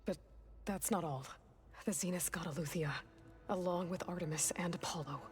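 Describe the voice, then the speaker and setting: A woman acting a determined, guarded young warrior in a measured, matter-of-fact way for a video game cutscene. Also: lowish voice, steady tone, close to an everyday voice. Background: music behind the voice.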